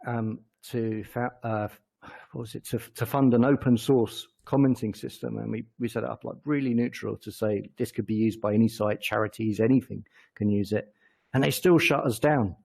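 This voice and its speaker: English guy being interviewed, gravelly creaky voice, stop-start casual answers. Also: medium pitch, steady tone, a little mellow. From England. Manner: halting, self-correcting. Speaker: interview guest, company chief executive.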